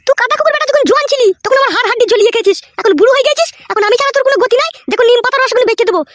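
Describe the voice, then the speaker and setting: A woman's voice: very high voice, racing, no room sound. A woman voicing a bossy, brusque elderly village wife and mother in a comedy cartoon. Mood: scornful, scolding. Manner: scolding, brusque.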